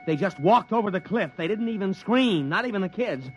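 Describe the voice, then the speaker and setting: A high-voiced, deferential officer. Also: male voice, expressive, a little fast, a little hiss or hum. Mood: shaken. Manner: clipped and deferential. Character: dutiful young officer, shaken. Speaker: military aide. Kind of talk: 1950s radio drama acting.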